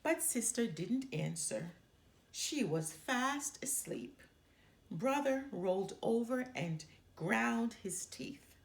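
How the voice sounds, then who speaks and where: A woman reading a children's storybook aloud with expressive, dramatic delivery. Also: fairly high pitch, slow pace, creaky. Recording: roomy echo.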